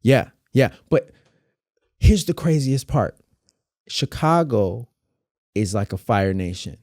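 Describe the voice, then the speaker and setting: Warm-voiced man, emphatic and animated. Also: medium pitch, takes it slowly, dry recording, clean studio sound. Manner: animated and emphatic, builds points through vivid comparisons. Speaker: dance teacher and battle judge, guest. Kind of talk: casual conversational interview.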